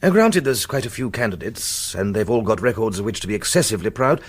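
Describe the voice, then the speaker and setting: An actor playing a senior British lord and college fellow, pompous and drily ironic in long orotund phrases. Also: male voice, lowish voice, lively intonation, quick pace, slightly rough. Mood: dry, droll. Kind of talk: British radio drama acting.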